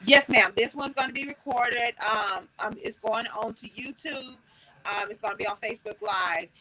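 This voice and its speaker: A woman hosts a casual online book show, speaking from her phone. Her voice is bright and a little sharp. She talks in a loose, folksy way, full of fillers and restarts. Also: fairly high pitch, moderate pace, rough recording. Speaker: host. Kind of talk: casual livestream chat.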